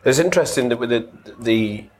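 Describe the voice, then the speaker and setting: A man interviewing an author in casual conversation, halting and restarting often. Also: medium pitch, expressive, a little hiss or hum. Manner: halting, many restarts and fillers.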